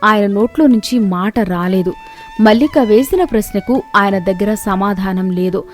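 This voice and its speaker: A woman's voice: mid-range voice, measured pace, faint music behind. A woman storyteller giving scripted narration of moral tales.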